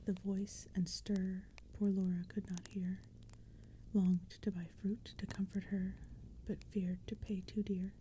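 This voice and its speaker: A woman whispers poetry in a hushed ASMR reading. Her breathy voice is very dark and mellow, with a flat, gentle melody and a slow, measured pace. A crackling fire and forest sounds play softly behind her. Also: medium pitch. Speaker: narrator and reader.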